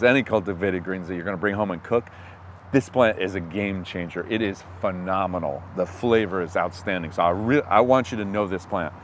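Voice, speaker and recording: A man's voice: on the low side, slight background noise. A man hosting a casual outdoor vlog, explaining wild plants with enthusiasm as he walks through the woods. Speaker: host. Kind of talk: casual outdoor foraging talk.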